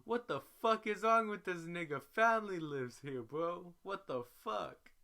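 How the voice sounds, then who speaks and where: A man's voice: sits high, animated, measured pace, creaky, sharp tone, pitched above the performer's own voice, full character voice. A man voicing a hot-tempered, domineering male pimp in a dramatic story reading. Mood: furious.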